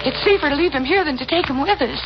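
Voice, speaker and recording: An actress playing a distraught, accusing woman with a sharp, melodramatic delivery in a 1940s radio mystery. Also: sits high, animated, racing, over loud music. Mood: uneasy. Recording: muffled, very noisy old radio recording.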